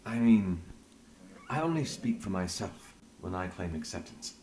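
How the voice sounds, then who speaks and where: Deep-voiced, measured investigator. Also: male voice, expressive, noisy background. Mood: concerned, careful. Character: measured, concerned male investigator, tactful leader. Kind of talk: dramatic video game voice acting.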